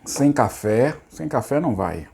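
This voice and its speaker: A hoarse middle-aged man, a psychologist giving an unscripted self-help talk on spirituality, repeating himself and asking rhetorical questions. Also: medium pitch, big pitch swings, a little slow, darkish tone. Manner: repetitive, rhetorical, casual asides. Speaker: psychologist hosting a channel.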